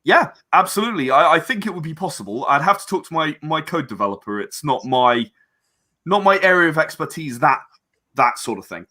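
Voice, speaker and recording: A man being interviewed in a casual chat, speaking earnestly and often haltingly, repeating words as he gathers his thoughts. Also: fairly high pitch, lively intonation, sharp tone. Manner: earnest about creative work. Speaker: interview guest, game and audio drama creator.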